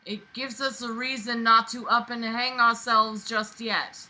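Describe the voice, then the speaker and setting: A woman reading aloud and commenting with exasperated, animated, emphatic reactions that swing dramatically in intensity. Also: fairly high pitch, measured pace, rough recording.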